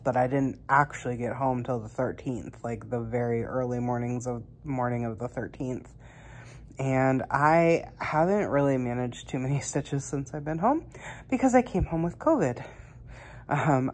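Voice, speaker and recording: A woman's voice: extremely low pitch, darkish tone. A young woman, slightly congested and recovering from illness, chatting casually to camera as a cross-stitch vlogger, rambling with many fillers.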